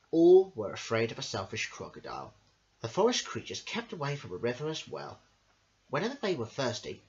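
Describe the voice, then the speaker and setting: Male amateur storyteller for children's tales: sing-song, very animated intonation, mid pitch, dull lo-fi home recording. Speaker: amateur storyteller, channel host.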